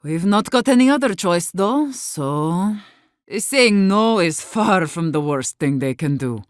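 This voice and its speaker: A woman's voice: fairly low pitch, expressive, slow pace, clear, resonant tone, no room sound, clean studio sound. An actor, a woman, playing a blunt, guarded and embittered leader of besieged wardens in a video game. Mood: resigned, somber. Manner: blunt, guarded, sharp-tongued, swearing. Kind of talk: video game character dialogue.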